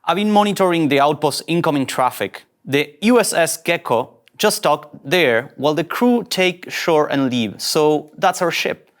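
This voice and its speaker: An actor playing a nervous young male ensign who bluffs as a bold captain in a comic sci-fi table read, halting and self-doubting. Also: medium pitch, lively intonation, natural voice. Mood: flustered authority.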